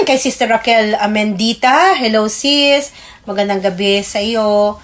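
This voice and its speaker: Polite Filipino woman, halting radio delivery. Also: on the high side, lively intonation. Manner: polite, halting while recalling names. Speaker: radio program co-host. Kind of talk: religious radio greetings and prayer.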